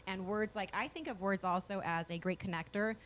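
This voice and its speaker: A woman's voice: mid-range voice, brisk. A woman with a mid-range voice hosts a morning talk show in a bubbly, chatty way, eagerly explaining word histories. She is from Mexico. There is a faint noise under the recording. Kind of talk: casual studio chat.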